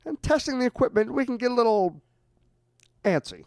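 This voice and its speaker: A man's voice: very high-pitched, big pitch swings, a little fast, dry recording, studio quality. A man hosting a casual podcast, reading quiz facts with mock drama and joking with his co-hosts. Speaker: podcast host.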